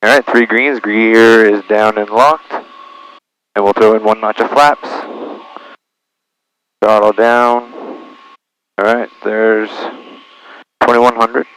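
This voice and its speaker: A man's voice: fairly low pitch, fairly even intonation, slow pace, pauses often, fairly bright tone, slight background noise, poor audio. A man piloting a small plane, narrating each procedure as he goes and making radio calls, heard through a cockpit headset. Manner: talks himself through checklists and procedures. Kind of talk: vlog narration of his flying and radio calls. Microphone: aviation headset.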